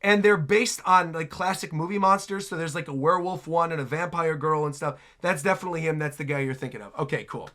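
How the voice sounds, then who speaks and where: A man giving casual, excitable reaction commentary as a streamer, with slangy exclamations. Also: fairly high pitch, fast talker, few pauses. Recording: trailer music behind the voice.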